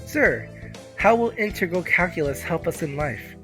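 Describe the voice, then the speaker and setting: A man voicing an earnest, excitable teenage schoolgirl in a visual novel, with wry inner monologue over music. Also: fairly high pitch, moderate pace, voice pushed up, natural voice. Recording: music behind the voice.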